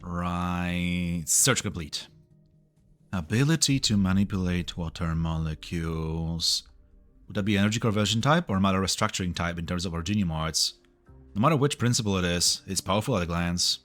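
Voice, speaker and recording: Man as analytical tech bodyguard, deep, earnest, explanatory, scripted game dub. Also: normal speed, a bit bright, faint music behind.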